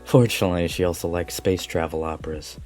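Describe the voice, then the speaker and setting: A man playing a gentle, modest alien café regular, speaking politely and sincerely in a fan-dubbed visual novel. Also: sits low, steady tone, fast talker, soft background music, close to an everyday voice.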